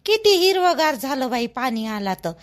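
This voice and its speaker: A woman's voice: high voice, very animated, normal speed. A woman voicing a chatty, nosy but helpful older village housewife in a cartoon, speaking colloquial Vidarbha Marathi full of exclamations. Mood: chatty, grumbling. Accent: Varhadi Marathi, Vidarbha. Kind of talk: rural family cartoon dialogue.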